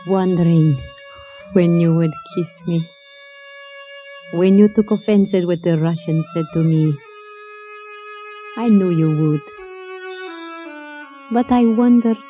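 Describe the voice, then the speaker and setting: A woman's voice: lowish voice, slow pace, pauses often. Bold foreign fugitive woman, muffled old radio. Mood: admiring, seductive. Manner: commanding, terse, formal stilted phrasing. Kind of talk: radio drama acting. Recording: muffled old radio recording, music behind.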